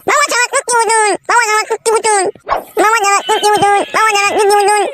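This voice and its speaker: A tiny cartoon boy with a very high, squeaky voice. He parrots words in garbled baby talk, on a clearly noisy home-made dub. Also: level tone, fast. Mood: playful, obedient. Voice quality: babyish garbled pronunciation. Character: small toddler boy babbling and mispronouncing words. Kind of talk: comic cartoon dubbing in Bengali.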